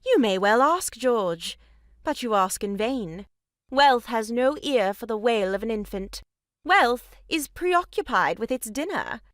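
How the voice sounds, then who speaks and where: A pompous, aggrieved busybody in an audio farce. She declaims in a high, very animated voice, turning each reproach into grand melodrama. The sound is close and dry. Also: measured pace, no room sound. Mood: mournfully grand. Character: meddling, theatrically aggrieved sister-in-law, grandly moralizing woman. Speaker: voice actor. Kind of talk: stage farce audio drama acting.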